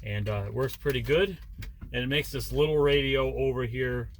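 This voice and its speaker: A man's voice: medium pitch, normal speed. A man chatting casually as a vlogging truck driver, with a folksy, jokey manner, recorded in a truck cab. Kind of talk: casual vlog chat. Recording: loud vehicle and engine noise. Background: loud vehicle noise.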